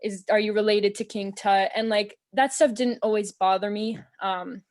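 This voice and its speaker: A young American woman on a video call, talking in a relaxed, earnest way and filling her speech with "um" and "like". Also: mid-range voice, moderate pace. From United States. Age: young adult. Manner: earnest, thoughtful, many fillers like "um" and "like". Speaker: interview guest. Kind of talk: casual conversation. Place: small room at home, indoors.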